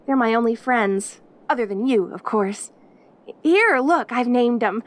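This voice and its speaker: Woman playing a high-voiced, perky lonely demon girl. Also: expressive, unhurried. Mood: chatty, wistful. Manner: rambling, stammering, eager, giggly. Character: perky, lonely caged demon girl, clingy and sweetly chatty. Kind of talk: audio roleplay acting, addressing the listener intimately.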